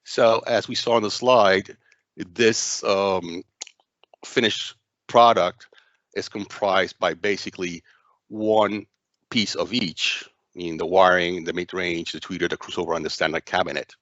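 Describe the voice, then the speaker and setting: A man narrating a software demo walkthrough as its presenter, speaking in a halting, hesitant way with frequent stumbles. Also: sits low, steady tone, dry recording, dull audio.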